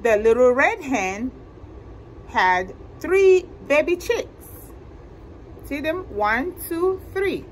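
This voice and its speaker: Middle-aged woman, storytime reader: high voice, very lively intonation, deliberate delivery, noisy room. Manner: slow, deliberate, expressive, asking listeners questions. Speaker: library storytime host. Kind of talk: animated picture-book read-aloud to children. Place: indoor library room with bookshelves.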